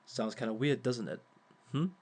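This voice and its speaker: A man's voice: medium pitch, moderate pace, darkish tone, natural voice. A man voicing a sly, theatrical male villain who lectures on dating in an audio roleplay, with chuckling asides. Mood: smug. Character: smug male villain giving a dating seminar.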